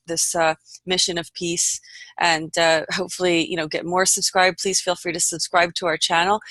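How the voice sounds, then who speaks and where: A woman hosting an unscripted online panel, speaking in an earnest, halting, meandering way. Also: on the low side, fairly bright tone, no echo. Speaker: host of an online panel report.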